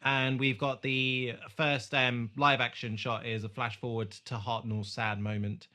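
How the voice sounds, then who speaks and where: Bright, forceful man hosting a podcast. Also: medium pitch, level tone, unhurried. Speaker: podcast host. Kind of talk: casual recap chat.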